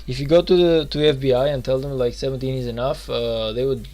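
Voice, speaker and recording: A man's voice: mid-range voice, fairly even intonation, fast talker, mellow. A man in his twenties chatting loosely while streaming a game, rambling with lots of fillers and restarts. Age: 20s. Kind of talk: casual live-stream chat.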